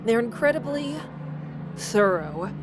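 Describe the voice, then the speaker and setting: A woman's voice: a little high, sing-song, slow, noisy background. A woman voicing an impish demon boy in an audio drama, teasing and sly, with a theatrical drawl. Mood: scheming.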